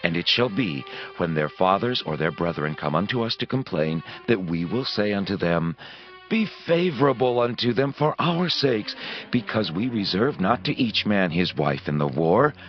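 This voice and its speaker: A man's voice: low-pitched, big pitch swings, moderate pace. A man playing a scheming Israelite elder, declaiming instructions emphatically in a scripture drama. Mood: conspiratorial counsel. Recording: dull lo-fi audio, faint music.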